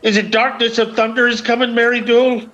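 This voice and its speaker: A man's voice: very high voice, flat delivery, brisk, fairly bright tone, highs cut off. An actor playing a bitter old blind Irish beggar man in a radio play, declaiming in lilting dialect. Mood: anguished alarm. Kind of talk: theatrical radio play acting.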